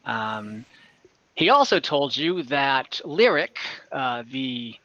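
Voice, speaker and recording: A man narrating as dungeon master in an online tabletop game, relaxed and chatty with many fillers. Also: mid-range voice, slow pace, dry recording. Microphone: computer mic on a video call. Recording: muffled online call audio.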